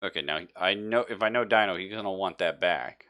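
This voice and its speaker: A man's voice: sits low, fairly quick, pushed and bright. A man running a tabletop roleplaying game as game master, talking casually and checking in with his players as he sets out scenes.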